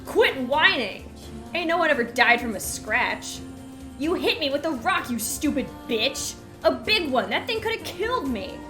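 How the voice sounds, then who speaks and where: A sneering village bully, a boy who taunts his victim and then shrieks when hurt, as a woman puts him on. The voice sits higher and louder than the reader's own, over soft background music. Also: expressive, measured pace. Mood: shrieking. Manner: taunting, loud and shrill.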